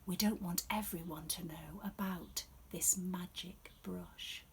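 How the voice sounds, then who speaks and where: A woman voicing a secretive, mysterious man from a storybook in a hushed whisper. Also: fairly low pitch, steady tone, slow, gravelly, slight background noise.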